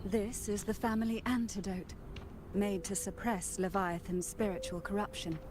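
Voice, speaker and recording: A woman playing a composed, authoritative noblewoman in a video game, speaking in a firm and measured way. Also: medium pitch, steady tone, noisy background, close to an everyday voice. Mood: calm, determined. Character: commanding, composed young noblewoman steadying her frightened comrades. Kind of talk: dramatic video game voice acting. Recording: loud game music behind.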